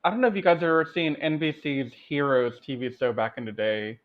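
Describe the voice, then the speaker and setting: A man's voice: medium pitch, lively intonation, a little fast. A man co-hosting a film review podcast in a casual, conversational discussion style. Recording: dull, lo-fi voice sound.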